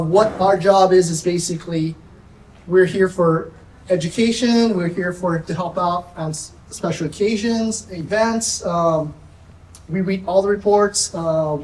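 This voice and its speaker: A woman, a sheriff's deputy, giving a community safety presentation in a soft-spoken, nervous, chatty way. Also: on the low side. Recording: echoey room, distant mic, faint noise.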